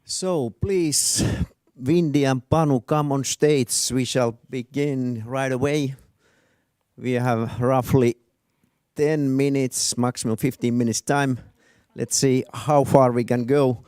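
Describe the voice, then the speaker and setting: A man's voice: medium pitch, fairly even intonation, slow pace, a little mellow, dry recording, studio quality. Man moderating a panel. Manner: hesitant, restarts sentences. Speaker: moderator, business advisor. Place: event stage. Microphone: handheld.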